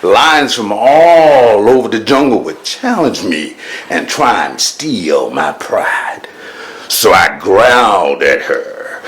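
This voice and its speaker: A man narrating as a proud, grumpy male lion king in a storybook, slow, grand and huffy, growling now and then. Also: fairly low pitch, a bit bright, a little hiss or hum, heavily acted. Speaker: first-person narrator.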